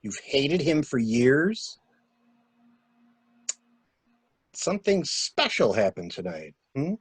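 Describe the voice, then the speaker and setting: A man's voice: mid-range voice, big pitch swings, a little slow, husky, no room sound. A man playing a talkative, flustered stranded engineer with a polite, stammering, theatrical manner in a murder mystery reading. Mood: probing. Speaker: actor in an amateur library reading.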